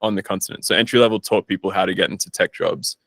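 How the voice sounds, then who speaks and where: A man's voice: deep voice, fairly even intonation, fast talker, fairly bright tone, no room sound. A man chatting casually as an interview guest, informal and self-deprecating, recorded in a studio. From Australia. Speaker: guest, startup founder and investor.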